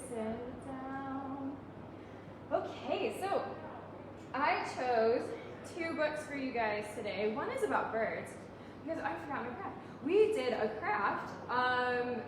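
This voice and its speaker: A woman leading story time as a children's librarian, talking simply to preschoolers, asking them questions and reading aloud. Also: high-pitched. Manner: simple child-directed talk with frequent questions. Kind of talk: interactive read-aloud to preschoolers. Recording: very echoey room, distant mic, noisy.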